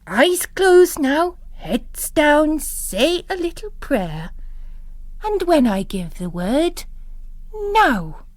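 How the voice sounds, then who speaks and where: An impetuous young nun, acted by a woman reader, speaks out briskly and earnestly in a storybook reading. Her voice is high and swings widely, with a bossy, urgent edge. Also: sing-song, no echo, exaggerated voice. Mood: commanding, urgent. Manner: urgent, commanding, outspoken.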